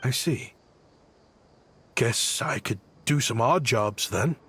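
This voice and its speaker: An actor playing a weary, regretful exiled desert scholar and inventor in a game, speaking in a measured, reflective way. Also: male voice, medium pitch, animated, fairly bright tone, slight background noise. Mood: agreeable. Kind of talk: scripted game narration and dialogue. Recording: long reverb, music behind.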